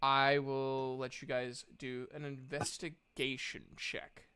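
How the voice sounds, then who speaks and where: A game master improvising narration for a tabletop game in an informal, halting manner. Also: medium pitch, little pitch movement, bright, forceful tone, a little hiss or hum.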